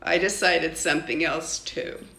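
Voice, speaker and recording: An older woman, a grandmother, reading a children's story aloud in an expressive, deliberate way. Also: low-pitched, reverberant.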